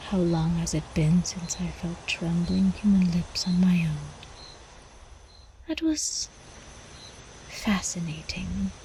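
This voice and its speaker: A woman performs a predatory, elegant vampire countess for an ASMR roleplay. She speaks very slowly in a dark, velvety tone, teasing and possessive, drifting into soft whispers. Faint noise of a night with crickets sits behind her. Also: on the low side, poor audio. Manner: slow, sultry, teasing, slipping into whispers.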